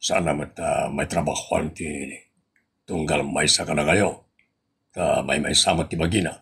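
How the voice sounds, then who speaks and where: Audio comedy-drama: solemn male village captain, acted by a man, Ilocano accent, deep creaky voice, measured and explanatory. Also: fairly even intonation, a bit bright, full character voice. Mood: grave, explaining. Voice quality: low, gravelly.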